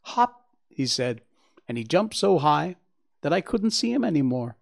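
Man playing an eager, high-voiced little fawn. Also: unhurried, gravelly, dry recording, clean studio sound, heavily acted. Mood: excited. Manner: excited, wide-eyed, earnest. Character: eager, curious, polite young male fawn.

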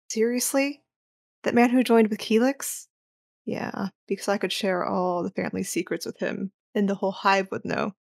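A woman playing a cool, sardonic young operative and teasing sister in a sci-fi audio drama, with a deadpan delivery. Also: mid-range voice, normal speed, creaky, no echo, studio quality, close to an everyday voice.

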